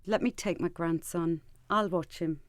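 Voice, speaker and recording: A woman's voice: fairly low pitch, measured pace, dry recording, natural voice. Soothing actress as a grandmother, dry close sound. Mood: caring. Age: older. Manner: soothing, caring. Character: gentle, concerned grandmother in a radio drama.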